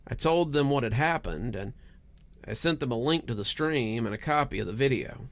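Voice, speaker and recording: A man reads scripted horror fiction as a storytelling host. His delivery is dramatic and very varied in pitch, with noticeable fry in the voice. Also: lively intonation, moderate pace. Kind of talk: scripted first-person horror narration.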